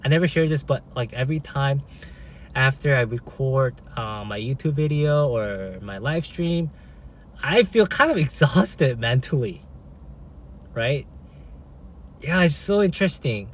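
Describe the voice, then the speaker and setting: Halting man, native Korean speaker. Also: mid-range voice, animated, slight background noise. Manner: halting, many fillers and restarts, frequent "right?". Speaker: live streamer. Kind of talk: casual live-stream chat, reading viewer comments.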